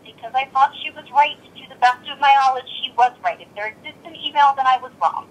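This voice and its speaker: A woman's voice: high voice, fast talker. A blunt, exasperated woman arguing on a recorded telephone call. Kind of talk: tense phone argument.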